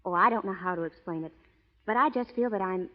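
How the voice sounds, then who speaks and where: Female actor for radio western schoolteacher: young, spirited, expressive, medium pitch, on a muffled old broadcast recording. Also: fast talker, lightly acted. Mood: earnest, unsure. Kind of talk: 1930s-40s radio drama acting.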